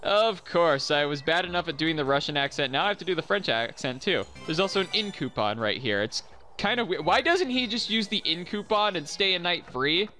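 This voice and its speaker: A man's voice: fairly high pitch, expressive, brisk, pushed and bright, soft background music. A young man giving chatty, self-deprecating commentary over recorded gameplay. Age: young adult. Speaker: let's play commentator.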